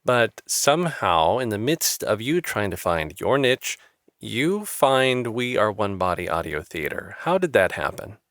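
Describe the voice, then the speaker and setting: A smooth, low-voiced male interviewer. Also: big pitch swings, a little slow, a bit bright, no room sound, clean studio sound. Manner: affirming, with filler-laden questions. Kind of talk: casual interview.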